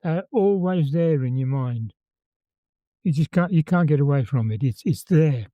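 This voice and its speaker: A man in his eighties with a Birmingham accent, calmly recalling old times as an interview guest and often repeating words as he tells his story. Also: fairly high pitch, moderate pace, no room sound, lo-fi sound. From England. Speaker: interview guest, former conscript. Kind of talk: reminiscing in conversation.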